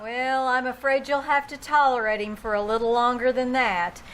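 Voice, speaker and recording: A woman's voice: fairly high pitch, fairly even intonation, moderate pace, fairly bright tone, natural voice, low-quality recording. A woman playing a patient, genteel, placating society wife in a 1940s-style radio comedy. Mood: explaining calmly. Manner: polite, placating. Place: live small theater stage.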